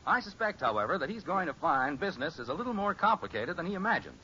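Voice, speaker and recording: Brisk, bright male radio announcer. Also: fairly high pitch, sing-song. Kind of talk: scripted 1950s radio narration. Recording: muffled, hissy old radio recording.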